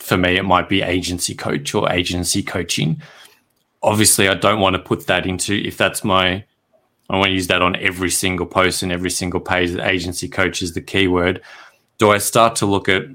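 A man with a very low voice hosts a casual interview. He strings several questions together in a row and answers his guest with constant short affirmations. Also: bright, forceful tone. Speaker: podcast host.

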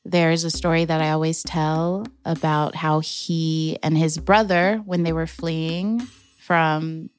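Young woman from Los Angeles, unhurried personal storyteller, smooth clear voice, slightly low. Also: steady tone. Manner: slow, reflective, with careful restarts. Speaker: storyteller, artist and organizer.

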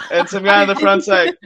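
A man telling a story as a guest comedian on a casual podcast, halting and repeating himself as he acts out exchanges. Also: high-pitched, sing-song, pushed and bright.

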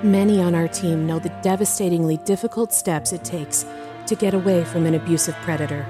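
Lower-voiced woman reading over music. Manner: earnest, supportive, measured. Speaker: podcast cast member and announcer. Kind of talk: scripted outro message.

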